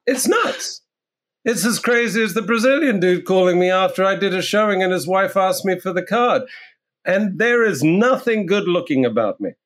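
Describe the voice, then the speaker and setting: A man with a fairly high voice speaks as an interview guest. He tells his stories with blunt energy and swears freely. The talk is casual and unscripted. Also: moderate pace. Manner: animated, blunt storyteller who swears freely. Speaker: real estate agent, guest.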